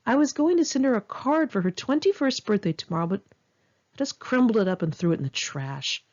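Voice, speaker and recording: Female author narrating her own memoir audiobook; conversational, animated intonation, slightly lo-fi sound. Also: fairly high pitch, a little fast. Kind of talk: first-person memoir narration, audiobook read in one take.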